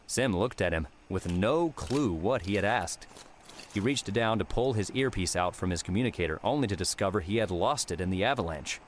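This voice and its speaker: A man's voice: lowish voice, lively intonation, fairly quick, slight background noise. A man reading scripted narration of an adventure novel as an audiobook narrator.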